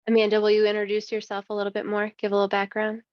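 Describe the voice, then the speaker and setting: A woman's voice: mid-range voice, completely flat delivery, very fast, dry recording. A woman moderating a panel over a video call, asking questions in an encouraging way. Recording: dry, muffled video call audio.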